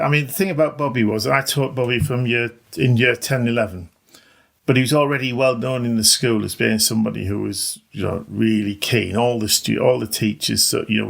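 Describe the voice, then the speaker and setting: A man's voice: mid-range voice, creaky. A middle-aged man, talking casually and modestly as an interview guest, a little hesitantly. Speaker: interview guest, maths teacher. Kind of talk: casual conversation.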